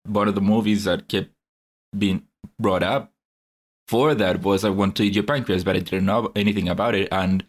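A young man co-hosts a relaxed podcast. His voice sits somewhat low. He speaks in a measured, thoughtful way and often pauses on hesitant fillers. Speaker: podcast co-host. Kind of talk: casual podcast chat.

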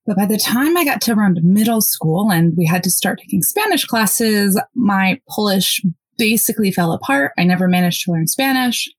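A woman from the United States, a podcast guest, telling her story quickly and excitedly. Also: medium pitch, husky, dry recording. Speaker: interview guest. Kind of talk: casual podcast interview.

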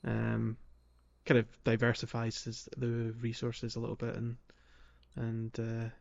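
A slightly muffled, lo-fi recording of a man with a medium-pitched voice. He talks informally as a podcast co-host, in the relaxed phrasing of a chat about games. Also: a little slow, gravelly. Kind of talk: casual two-man video game discussion.

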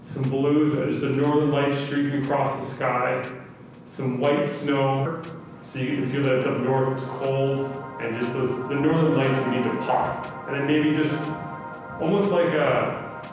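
A man's voice: medium pitch, level tone, a little slow. A man giving casual, reflective answers as an interview guest. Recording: echoey hall, distant, faint music.

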